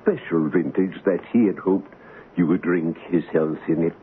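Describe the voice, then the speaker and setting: A man plays a dutiful royal aide in a vintage radio drama. His very low voice reports plainly and respectfully, over a thin, faintly noisy broadcast. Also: normal speed, phone-quality sound, natural voice. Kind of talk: 1970s radio drama acting.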